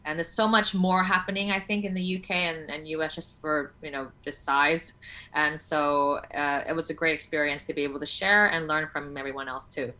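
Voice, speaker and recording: A woman from Hong Kong who works as a teacher answers a host's questions in a friendly, conversational way. Her voice sits low in pitch but has a bright, crisp edge, and she uses easy fillers as she talks. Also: fairly even intonation, moderate pace. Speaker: guest, learning support teacher. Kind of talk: interview answers.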